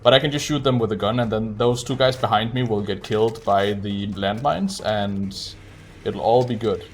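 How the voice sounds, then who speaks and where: Low-voiced man casually talking through gameplay. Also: normal speed, a little hiss or hum. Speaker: streamer speedrunner. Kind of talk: casual live gameplay commentary. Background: game music.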